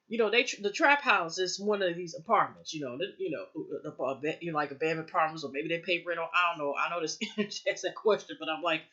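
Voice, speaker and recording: A woman's voice: fairly low pitch, fast, few pauses, bright, forceful tone, lo-fi sound. A woman recapping a TV episode in a loose, rambling way, with lots of fillers and restarts. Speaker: TV show reviewer.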